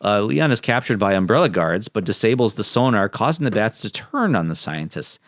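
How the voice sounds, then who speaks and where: A man's voice: on the low side, sing-song, fairly quick, fairly bright tone, no room sound, studio quality. A man co-hosting a podcast, reading plot summaries and chatting casually with wry, joking asides. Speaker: podcast co-host.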